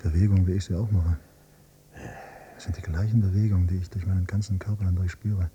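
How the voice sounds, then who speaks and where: Man acting a brooding adult son, deep dark voice, terse, detached, subdued, radio play, noisy tape. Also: fairly even intonation, quick pace, dull audio, close to an everyday voice. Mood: brooding disgust. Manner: terse, clipped phrases, subdued. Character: brooding, detached adult son, quietly disgusted by his father. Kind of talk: introspective radio drama narration. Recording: noisy, humming cassette copy.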